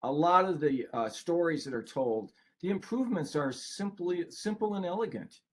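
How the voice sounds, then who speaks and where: A man's voice: on the high side, lively intonation, moderate pace. A man co-presenting an informal online webinar, speaking as an author.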